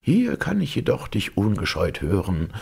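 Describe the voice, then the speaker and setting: Man putting on a humble old god, pitched up. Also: huge pitch swings, moderate pace, creaky, a little mellow, slightly rough, heavily acted. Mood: humble, admonishing. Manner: apologetic, deferential. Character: humble, polite old male country god.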